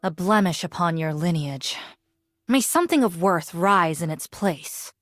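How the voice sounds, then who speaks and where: A woman's voice: fairly low pitch, animated, unhurried, no room sound, faint music behind. An actress playing a stern, regal ancient female game champion who speaks with formal, haughty disdain. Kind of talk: video game voice lines.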